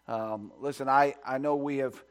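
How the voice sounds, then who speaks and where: A man pastor preaching a sermon in a church sanctuary, reading scripture and praying, at times hushed and at times rising with fervor. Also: steady tone, normal speed. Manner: builds to loud, high-pitched preaching fervor.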